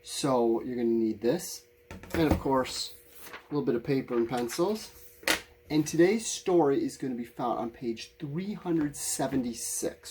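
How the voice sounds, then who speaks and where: A man's voice: mid-range voice, dark tone, slight background noise. A man teaching a recorded lesson, talking informally to his students and explaining things in a candid, conversational way.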